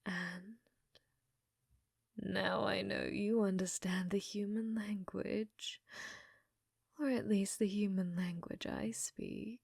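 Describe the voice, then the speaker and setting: A woman voicing an unhinged, infatuated scientist in a hushed, breathy ASMR roleplay, clinical yet flirtatious and often whispering. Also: medium pitch, fairly even intonation, slow, long pauses, no room sound, studio quality, exaggerated voice. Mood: fascinated, lingering. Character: unhinged, infatuated female scientist studying a captive alien.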